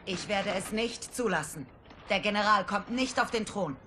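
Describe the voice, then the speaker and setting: An actress playing a stern, loyal royal guard warrior burdened by past failure, in dramatic video game dialogue. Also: female voice, mid-range voice, normal speed, pushed and bright, slight background noise, natural voice. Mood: defiant, urgent. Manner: solemn, resolute.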